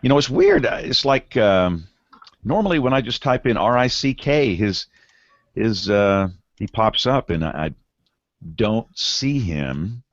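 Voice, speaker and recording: Relaxed low-voiced male podcast host. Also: a little slow, dry recording, lo-fi sound. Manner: relaxed, laughing, loose banter. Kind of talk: casual chat and show opening. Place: broadcast studio.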